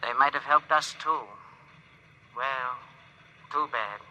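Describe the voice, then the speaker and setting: A calm, wistful alien crewman. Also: medium pitch, takes it slowly, slight background noise. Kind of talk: 1950s radio drama acting. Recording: dull old radio broadcast.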